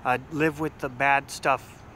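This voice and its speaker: A man filming on the street, wrapping up in a calm, matter-of-fact way. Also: mid-range voice, slow pace. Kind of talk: casual vlog narration. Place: city street outdoors. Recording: distant voice with loud street noise.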